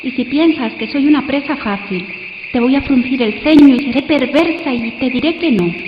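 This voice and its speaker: A woman's voice: high-pitched, moderate pace, close to an everyday voice. A woman playing a lovestruck young noblewoman, coy and passionate, in a theatrical, ardent classical radio play. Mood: pleading, passionate. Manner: theatrical, ardent, swinging between bold and hushed. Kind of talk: live radio play, classical romantic drama. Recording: muffled, noisy, echoey hall with crickets. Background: cricket sound effects.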